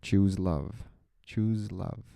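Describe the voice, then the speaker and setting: A young man with a soft, soothing voice, talking slowly and reflectively into a close microphone in a solo podcast. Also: low-pitched, vocal fry, no echo. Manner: slow, introspective, rambling. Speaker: podcast host.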